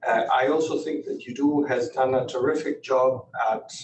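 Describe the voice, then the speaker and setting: Man, company executive, measured business talk, far from the mic, echoey. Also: mid-range voice, steady tone. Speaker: company executive on earnings call. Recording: echoey, distant mic, faint background noise.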